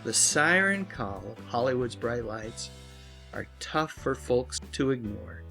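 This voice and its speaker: A man hosting and narrating a scripted video essay, with earnest, dramatic phrasing and drawn-out endings. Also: medium pitch, expressive, gravelly, sharp tone. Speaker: host, pop culture commentator. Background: music bed.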